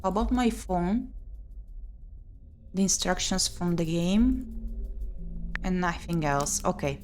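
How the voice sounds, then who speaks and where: A woman's voice: on the low side, expressive, slow pace, a little mellow. A woman doing let's play commentary, reading visual novel text aloud expressively with muttered reactions. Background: game music.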